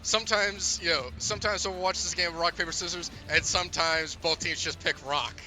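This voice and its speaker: A man's voice: on the high side, fairly even intonation, a little fast, sharp tone, poor audio. A man giving color commentary on a school esports match, breaking down strategy and joking as he goes. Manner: jokey, breaks down strategy with playful quips.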